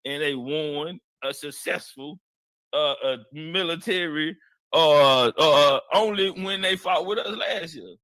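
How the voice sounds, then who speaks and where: Brief: man, bright forceful voice, bluntly ranting, dry close sound, repeats himself. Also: fairly high pitch, slow pace, no echo. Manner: blunt, repetitive, stalls with "uh". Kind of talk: casual rant.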